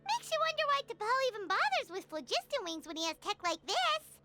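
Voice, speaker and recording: A woman voicing a small, childlike, excitable fairy companion in a video game, chatty and squeaky. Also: a little fast, faint music behind, full character voice. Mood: puzzled, curious. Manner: exclamatory, cutesy, expressive.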